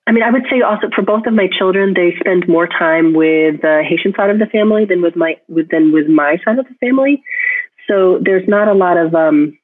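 A woman's voice: fairly low pitch, no echo. Woman musing aloud over a tinny phone line. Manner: thinks aloud with frequent restarts and "like". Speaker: photographer being interviewed. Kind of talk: reflective interview talk.

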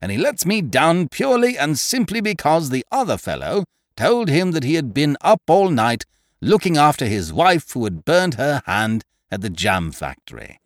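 A man voicing a blustering male schemer who booms and rants in exasperation. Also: huge pitch swings, normal speed, fairly bright tone, no room sound, clean studio sound, exaggerated voice. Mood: indignant. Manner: booming, exasperated ranting. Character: blustering loud male schemer, booming and indignant.

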